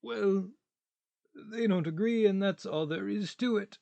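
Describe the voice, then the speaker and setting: A man acts out an irritable, world-weary tramp in a radio play. The voice sits high and moves slowly, terse and grumbling, full of contrary questions. The sound is very dry. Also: gravelly, warm tone, no room sound. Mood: contemptuous.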